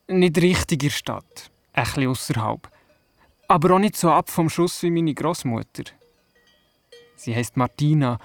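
A man's voice: a little high, dry recording. A male performer playing a curious Swiss city boy who narrates an audio play, with a Swiss German accent. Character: curious city boy telling of his grandmother. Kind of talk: storytelling narration in a radio play.

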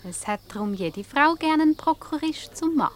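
An actress playing a charming, cunning wife in a radio comedy, coaxing and flirtatious, with a Swiss German accent. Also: female voice, high-pitched, animated, moderate pace. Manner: coquettish, coaxing.

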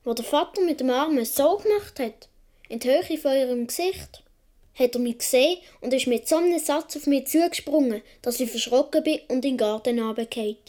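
A woman's voice: high-pitched, brisk, a little mellow, lightly acted. A woman playing a young Swiss boy who tells his childhood adventures vividly in a radio play, with a Swiss German accent. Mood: absorbed storytelling. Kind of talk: first-person radio play narration.

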